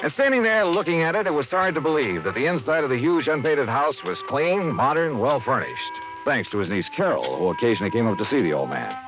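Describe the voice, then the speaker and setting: Male actor as wry tough American detective, mid-pitched, very expressive, old-time radio drama, clear music bed. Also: fairly quick, hardly any pauses, lightly acted. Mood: wry narrating. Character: tough, wry male insurance investigator hero. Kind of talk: hard-boiled old-time radio narration and acting. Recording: vintage radio recording with music.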